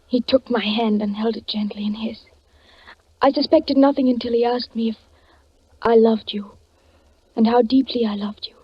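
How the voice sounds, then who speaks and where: An actress playing a frightened, devoted young wife, confiding earnestly in a husky, hushed voice in 1940s radio style. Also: female voice, fairly high pitch, dry recording. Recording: muffled 1940s radio recording.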